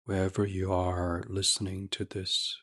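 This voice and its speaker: A man hosting a guided sleep meditation, speaking softly and gently in a near-whisper with long pauses. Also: low-pitched, completely flat delivery. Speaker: meditation podcast host.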